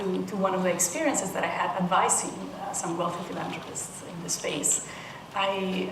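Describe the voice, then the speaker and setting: A woman from Peru giving a conference talk, thoughtful, with frequent restarts and soft laughs. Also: mid-range voice. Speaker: panel speaker. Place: conference room. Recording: roomy, distant mic with noise.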